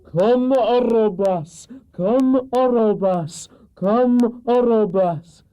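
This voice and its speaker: A man's voice: high voice, dark tone, smooth, pitched above the performer's own voice. A man voicing a commanding evil witch in a children's storybook, with a harsh, droning, imperious delivery. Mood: fierce chanting. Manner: slow, imperious incantation. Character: commanding evil witch woman chanting dark spells.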